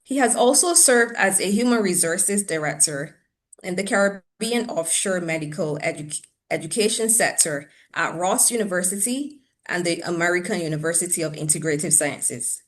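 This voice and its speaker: A woman moderating an online webinar over a video call, reading out a speaker introduction and stumbling over a name before correcting it. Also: lowish voice.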